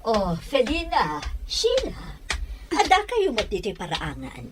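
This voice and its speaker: A woman's voice: on the high side, huge pitch swings, slow, noisy background, heavily acted. A woman playing a hospitable middle-aged mother in an audio drama. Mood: welcoming. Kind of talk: Ilocano audio drama acting.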